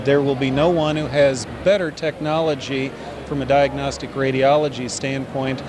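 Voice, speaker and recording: A man's voice: mid-range voice, little pitch movement, moderate pace, background noise. A man who directs hospital public relations, speaking in a polished, promotional way with some dry humor during an interview at a business expo. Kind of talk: casual interview. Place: busy indoor business expo.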